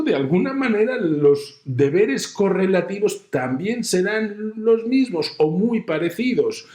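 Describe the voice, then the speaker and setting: A middle-aged man with a Spanish accent from Spain lectures slowly, pausing often between phrases. He explains his point patiently with everyday examples. The room carries a noticeable echo. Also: on the high side, darkish tone. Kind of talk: recorded university lecture.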